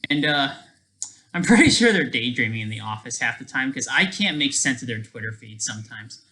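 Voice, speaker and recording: A man talking casually on a livestream, rambling and halting, often trailing off mid-thought, with a sardonic, self-deprecating streak. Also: medium pitch, lively intonation, bright, forceful tone.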